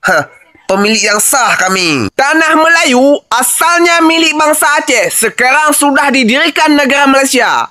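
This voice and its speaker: Fiery, very high-voiced man rallying listeners. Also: animated, moderate pace, pushed and bright, dry recording, clean studio sound. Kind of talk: fiery speech in a clip.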